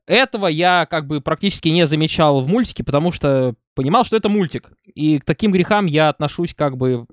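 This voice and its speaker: A man's voice: on the high side, expressive, fairly quick, no echo, clean studio sound. A man in his thirties giving casual reaction commentary from a room at home. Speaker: reaction video host. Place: small home room with shelves.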